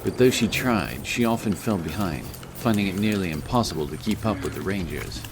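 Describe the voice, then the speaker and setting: A man's voice: sits low, animated, a little fast, background noise. A man reading scripted narration for a fantasy story as its narrator.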